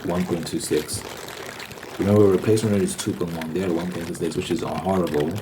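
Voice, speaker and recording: Low-voiced man rambling casually over background noise. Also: steady tone, fairly quick. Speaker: ASMR vlogger.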